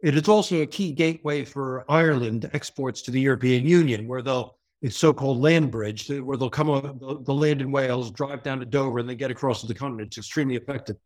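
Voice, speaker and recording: A man's voice: mid-range voice, fairly quick. A man with a New York area American accent, answering interview questions in a fluent, explanatory, businesslike way. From New York area, USA. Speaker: port executive.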